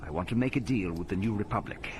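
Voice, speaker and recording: An actor playing a calm, shrewd planetary governor who recounts a battle with measured weight in a space-opera audio drama. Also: male voice, lowish voice, noisy background, natural voice. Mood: grave, confiding.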